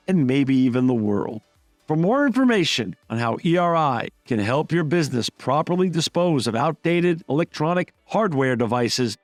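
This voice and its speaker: A middle-aged man with a fairly high voice hosts a podcast in an upbeat, effusive manner. His voice is dry and close to the mic. Faint music plays under it. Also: no echo. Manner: enthusiastic, effusive. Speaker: podcast host. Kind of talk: podcast hosting and read ads.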